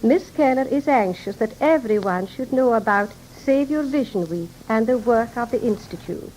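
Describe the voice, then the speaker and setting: A woman's voice: a little high, animated, moderate pace, a little mellow. A woman explaining things formally and precisely as a guest in a 1930s radio interview. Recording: noisy 1930s radio broadcast recording.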